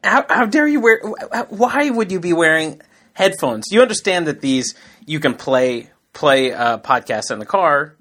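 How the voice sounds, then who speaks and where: A man in his thirties co-hosting a comedy podcast. His voice is bright and forceful, with a sharp edge. He pushes back on teasing with incredulous, joking defensiveness. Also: a little high, expressive, moderate pace. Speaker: podcast co-host. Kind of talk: casual comedic chat.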